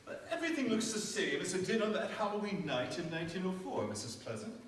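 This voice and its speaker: A man's voice: high-pitched, sing-song, fast. A man playing a formal, dutiful family lawyer in a staged mystery radio play. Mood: courteous. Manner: formal, proper. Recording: echoey stage from far off, faint noise.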